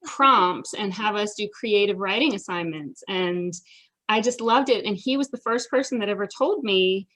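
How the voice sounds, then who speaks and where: A middle-aged American woman speaks at a medium pitch as a guest author. She is chatty and enthusiastic, telling personal stories in a casual, conversational way. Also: moderate pace, a bit bright, low-quality recording. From United States. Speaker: interviewed children's author.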